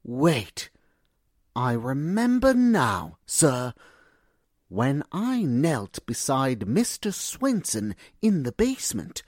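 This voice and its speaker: A man playing a deferential, nervous household servant in a radio drama, husky, formal and hesitant. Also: mid-range voice, no echo, studio quality, heavily acted.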